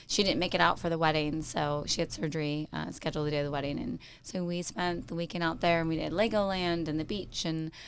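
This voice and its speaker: A chatty American woman with a slightly raspy, somewhat low voice tells stories in an animated, bubbly way. A faint hum of ambience sits behind her. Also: fast talker, few pauses. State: a little raspy from yelling. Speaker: co-host. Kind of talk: casual chat.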